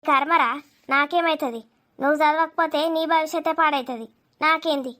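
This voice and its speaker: A scolding village mother from a cartoon comedy, voiced by a woman, extremely high in pitch. She nags and needles with heavy sarcasm in a Telangana accent. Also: steady tone, a little fast, bright, forceful tone. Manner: nagging, sarcastic.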